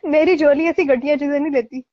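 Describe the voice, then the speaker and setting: A high-voiced woman talks casually on a phone call. She sounds amused and sarcastic, and the recording is dull and lo-fi. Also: fairly even intonation, brisk, slight background noise.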